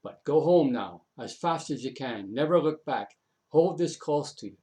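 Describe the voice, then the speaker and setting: A man's voice: fairly high pitch, animated, moderate pace, warm tone, lightly acted. A man voicing a protective male rescuer in a folk tale, giving urgent, repeated commands.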